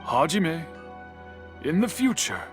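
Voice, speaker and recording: An actor playing a stern but courteous old master swordsmith in a game, speaking in a measured, formal way. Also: male voice, on the high side, very animated, music in the background. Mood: composed, formal. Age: older. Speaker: master blacksmith. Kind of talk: game voice acting.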